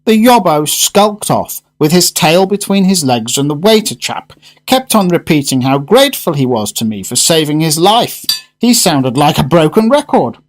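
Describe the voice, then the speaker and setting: An actor plays a blustering British businessman in a radio drama. His fairly high male voice swings widely in pitch, brisk, chatty and excitable. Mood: breezy. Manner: animated, colloquial, grumbling. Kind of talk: fan-made radio drama acting.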